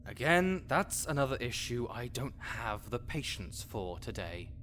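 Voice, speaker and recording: A man's voice: medium pitch, sing-song, measured pace, noisy background. A man playing a grouchy, scholarly necromancer in an audio roleplay, condescending, pedantic and sarcastic. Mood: dry impatience. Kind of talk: audio roleplay acting addressed to the listener.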